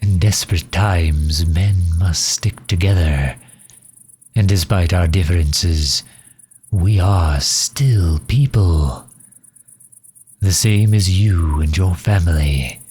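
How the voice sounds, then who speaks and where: A man plays an earnest male vampire diplomat in an intimate audio roleplay. His voice is very deep, soft and husky. He speaks slowly, with formal, old-fashioned courtesy. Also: full character voice. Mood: pleading. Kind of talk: scripted ASMR roleplay, addressing the listener.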